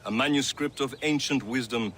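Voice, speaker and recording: An actor playing a stern, dignified older mentor in a video game, speaking formally and deliberately. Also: male voice, mid-range voice, a bit bright, a little hiss or hum, natural voice. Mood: grave, welcoming.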